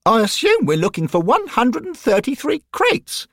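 A man voicing an eager, pedantic ghost schoolboy with a high treble voice who never stops reciting facts. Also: huge pitch swings, moderate pace, a bit bright, dry recording, clean studio sound, heavily acted. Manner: fact-reciting, polite, keeps saying sir. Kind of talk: audiobook character voice.

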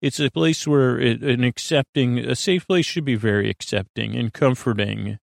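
Creaky-voiced man rambling soothingly. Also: mid-range voice, no room sound, studio quality. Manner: meandering, halting, trailing off into tangents and repeats; soothing, lulling. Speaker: host of a bedtime story podcast. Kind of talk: rambling bedtime monologue to lull listeners to sleep.

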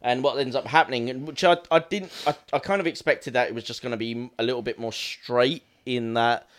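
A man's voice: mid-range voice, fairly even intonation, brisk, fairly bright tone. A man with an Essex accent, rambling and laughing easily as he chats about a film on a podcast. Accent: Essex English. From Essex, England. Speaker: podcast co-host. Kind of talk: casual film chat.

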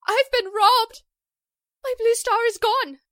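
A woman playing a famous, high-strung Broadway actress in a theatrical 1940s radio drama style. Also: slow, pushed and bright, dry recording, studio quality, full character voice. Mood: panicked.

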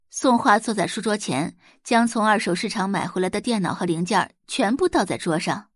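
A woman narrating a web novel as a scripted audiobook, telling the story in an expressive, dramatised way. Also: medium pitch, no echo, clean studio sound.

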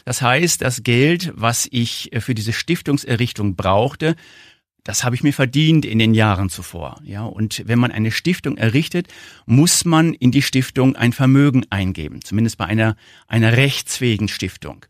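A man in his fifties with a North German accent, answering interview questions in a measured, explanatory way. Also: mid-range voice, animated, no echo, studio quality. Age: 50s. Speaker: interview guest, foundation founder.

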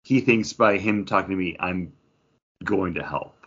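A man's voice: sits low, measured pace, fairly bright tone, breathy edge, highs cut off. A man chatting casually and informally as a podcast co-host discussing a book.

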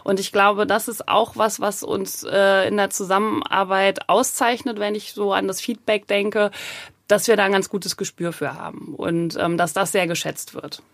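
Casting: female agent, mid pitch, reflective interviewee, conversational, dry studio-like sound. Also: fairly even intonation, moderate pace, gravelly, fairly bright tone, dry recording. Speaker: voice talent agent being interviewed.

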